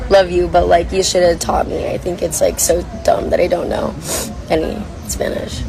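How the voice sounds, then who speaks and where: A young woman with a hoarse, worn voice chats casually while reading viewer comments on a livestream from a coffee shop. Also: lowish voice, level tone, normal speed, poor audio. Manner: chatty, rambling, reads comments aloud. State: hoarse, lost voice. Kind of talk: casual chat.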